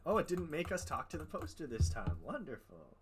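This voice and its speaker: A man's voice: a little high, very animated, fairly quick, noisy background. A man giving casual live game commentary, muttering reactions to himself. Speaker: game streamer. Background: game music, keyboard typing.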